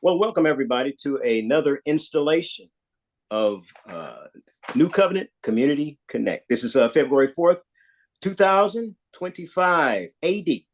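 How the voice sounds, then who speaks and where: A man hosting a community live show, relaxed and rambling as he interviews a guest. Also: on the high side, normal speed. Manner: relaxed, rambling, with many fillers.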